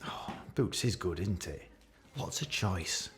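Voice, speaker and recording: A man's voice: sits low, expressive, takes it slowly. A man playing an awkward, catty office boss with a British accent, giving a hesitant, rambling, jokey leaving speech in a radio play. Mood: fond, rambling. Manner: hesitant, repetitive, jokey with barbed asides.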